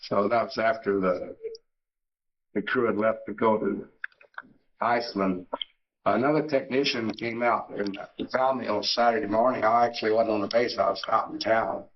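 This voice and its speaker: An older man, a Navy veteran, reminiscing in an interview, halting with many fillers and restarts. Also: on the low side, fairly even intonation, dull audio, a little hiss or hum.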